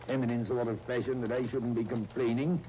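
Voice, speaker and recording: Grumbling, affectionate English uncle, played by a man: older, blustering, warm low-lit timbre, vintage radio serial with surface noise. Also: flat delivery, brisk, close to an everyday voice. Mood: gruff. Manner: gruff, old-fashioned exclamations. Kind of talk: 1930s radio serial acting. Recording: noisy 1939 radio transcription disc.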